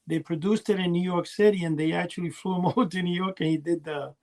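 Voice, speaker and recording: A man's voice: sits high, fairly even intonation, fast talker, a little mellow. A middle-aged man co-hosting a podcast, telling rambling, nostalgic stories in casual chat. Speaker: podcast co-host.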